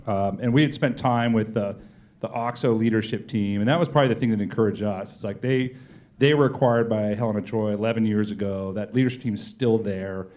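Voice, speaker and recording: A man being interviewed as a company executive, telling stories conversationally and acting out exchanges in informal, animated speech. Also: lowish voice, brisk. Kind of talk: conversational on-stage storytelling.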